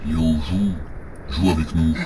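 A man's voice: rumbling low, steady tone, takes it slowly, noisy background. A man in an audio horror drama playing an eerie living toy that urges a child to play. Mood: insistent. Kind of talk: audio fiction acting.

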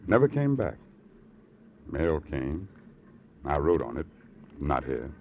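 An actor playing a gruff, brooding rooming-house man in a 1950s radio drama, musing in terse fragments. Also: extremely low pitch, sing-song, takes it slowly, exaggerated voice. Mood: guarded, musing. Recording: tinny, noisy old radio recording.

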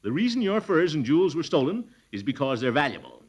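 An actor playing a pompous, blustering middle-aged amateur detective in a 1940s radio comedy. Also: male voice, a little high, sing-song, quick pace, darkish tone, breathy edge, lo-fi sound, exaggerated voice. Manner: blustering, chuckling, self-important.

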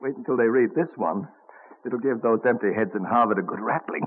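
An actor playing a cheeky, defiant young printer's apprentice, sly and bold, in an old-time radio drama. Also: male voice, medium pitch, brisk, a little hiss or hum, natural voice. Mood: sly, teasing. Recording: tinny, muffled old radio broadcast.

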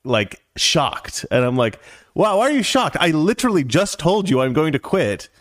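A man's voice: on the high side, very animated, normal speed, no room sound, studio quality. A man hosting a casual commentary show, rambling and opinionated with a joking edge. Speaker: show host.

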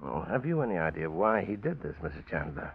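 A dry, laconic police lieutenant. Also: male voice, low voice, very animated, a little fast, gravelly, slightly rough, heavily acted. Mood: matter-of-fact. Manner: dry, casual, matter-of-fact. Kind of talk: 1940s radio acting. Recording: muffled old radio broadcast, faint hiss.

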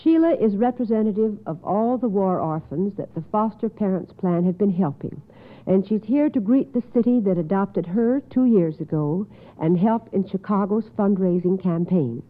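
A woman's voice: mid-range voice, animated, moderate pace. A woman hosting a radio quiz in a precise, formal, encouraging manner. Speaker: quiz show host. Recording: tinny, narrow old radio broadcast.

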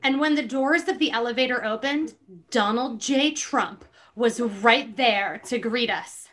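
A woman with a bright, forceful, fairly high voice reads a book aloud as a podcast host. She leans into theatrical emphasis and slips into casual, amused asides. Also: a little slow. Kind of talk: dramatic reading with casual asides.

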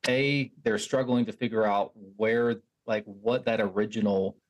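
A man's voice: fairly low pitch, steady tone, unhurried, dull audio. A man hosting a podcast, reflecting back on what his guest said in a thoughtful, casual way, often restarting his sentences. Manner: thoughtful, reflective, many restarts and "you know"s. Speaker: podcast host. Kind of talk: casual interview chat.

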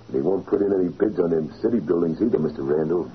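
A man's voice: low voice, lightly acted. An actor playing a crude, thuggish henchman with a slangy, tough manner, in old radio gangster style. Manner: slangy, tough, chuckling deference. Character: crude, gleeful male henchman, thuggish hired muscle. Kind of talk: 1940s radio gangster acting. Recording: dull, noisy old radio recording.

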